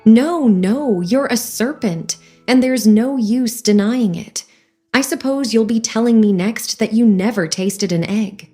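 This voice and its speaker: A woman's voice: unhurried, mellow, soft background music, lightly acted. A woman voicing a fretful, contemptuous female pigeon that shrieks and sobs its complaints, in a storybook reading. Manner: shrill, sobbing complaints.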